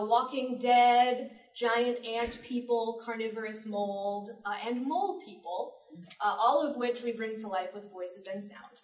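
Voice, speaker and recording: A woman moderating a live panel in a casual, conversational way as its host. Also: a little high. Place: public library event room. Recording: roomy live panel recording, distant mic.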